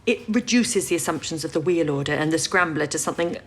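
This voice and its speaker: A woman playing a sensible, educated wartime codebreaker in a period radio drama, speaking in a measured, reassuring way. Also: low-pitched, lively intonation, slight background noise. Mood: explanatory. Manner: measured, explanatory, composed.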